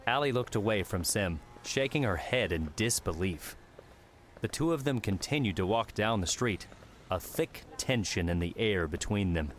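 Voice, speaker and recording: A man's voice: on the low side, animated, moderate pace, a little hiss or hum. A man reading scripted fiction as an audiobook narrator.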